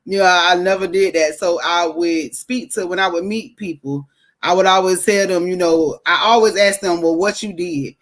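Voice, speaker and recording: A woman's voice: fairly low pitch, normal speed. A woman, guesting on a podcast over a video call and telling her story bluntly and plainly. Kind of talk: casual storytelling.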